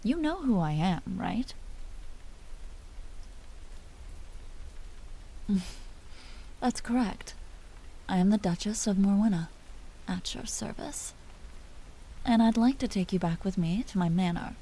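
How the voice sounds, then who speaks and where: An aristocratic vampire duchess, acted by a woman for a roleplay audio drama, speaks in a soft, dark voice with a breathy edge. She is imperious and teasing, slipping into hushed confidences that show a tender side. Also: medium pitch, expressive, unhurried, pauses often, rough recording. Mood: playfully commanding.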